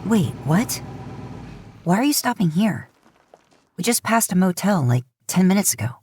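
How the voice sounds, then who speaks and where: A woman's voice: lowish voice, sing-song, normal speed, a little mellow, slight background noise. A woman voicing an earnest, bookish young man who argues insistently. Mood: exasperated.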